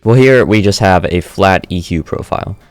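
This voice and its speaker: Low-voiced man casually explaining audio settings. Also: moderate pace, dry recording, soft background music. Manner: relaxed, self-correcting explainer. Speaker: streamer demonstrating audio software. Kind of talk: casual screen-recorded tutorial. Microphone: studio dynamic mic through audio interface.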